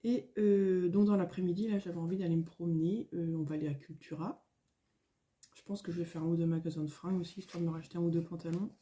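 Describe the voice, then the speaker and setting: A middle-aged woman, a little hoarse, chatting casually at home in a vlog, rambling with many fillers and restarts. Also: on the low side, fairly even intonation, fast talker, dark tone. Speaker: coloring vlogger. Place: at home, indoors.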